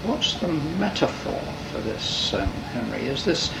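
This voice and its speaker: A man's voice: medium pitch, big pitch swings, unhurried, vocal fry. A man interviewing, asking probing questions and offering metaphors. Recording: roomy, distant, noisy mic.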